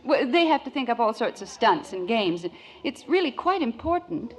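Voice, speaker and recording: Sweet oblivious american 50s sitcom mom, high lilting voice, bit of old radio noise. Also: female voice, sing-song, moderate pace. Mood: breezy, coaxing. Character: cheerful, breezily unbothered suburban housewife and mother. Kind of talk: 1950s radio sitcom acting. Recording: noisy old radio broadcast recording.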